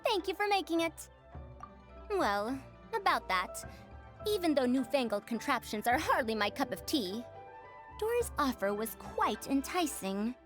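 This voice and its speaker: High, lilting bookish scholar. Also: female voice, sing-song, fairly bright tone, full character voice. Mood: polite, pleased. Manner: formal, measured phrasing. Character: polite, bookish young female scholar, composed and sincere. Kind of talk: video game cutscene acting. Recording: faint music behind the voice.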